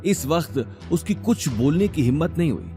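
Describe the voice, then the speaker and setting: Lively male audio drama narrator. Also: on the high side, moderate pace, music in the background. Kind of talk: dramatic scripted story narration.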